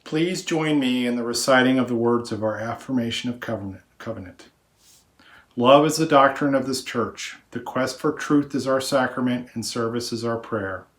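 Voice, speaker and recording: A man's voice: mid-range voice, moderate pace, fairly bright tone, roomy sound. A man reading liturgy aloud as a worship leader at a church service.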